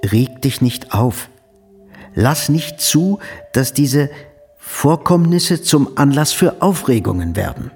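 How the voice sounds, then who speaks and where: A man's voice: medium pitch, expressive, slow, a little mellow, faint music behind. An actor playing a stern, principled middle-aged father in a period radio drama, speaking formally and cautiously. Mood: grave, admonishing. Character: stern, principled older father of a plain family.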